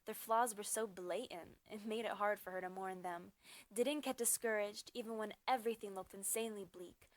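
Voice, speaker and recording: A woman performs a slam poem in a mid-pitched voice. She delivers it in short, measured phrases with dramatic emphasis, as a spoken-word poet would. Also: steady tone. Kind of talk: slam poetry recitation.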